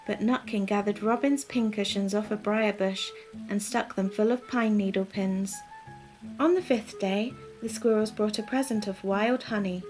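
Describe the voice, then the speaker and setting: A woman narrating a children's storybook in a soft, gentle, calm way, as scripted bedtime reading. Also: mid-range voice.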